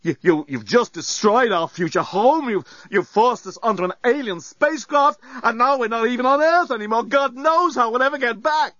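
A man voicing an excitable, sarcastic male character in a comic audiobook, spluttering with theatrical exasperation. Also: high voice, brisk, few pauses, dry recording, lo-fi sound, pitched above the performer's own voice, exaggerated voice. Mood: histrionic, panicked.

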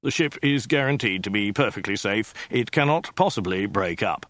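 A man voicing a cold, patient government official who speaks in a prim, measured way. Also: fairly low pitch, animated, a bit bright, no room sound. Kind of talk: audiobook character voice.

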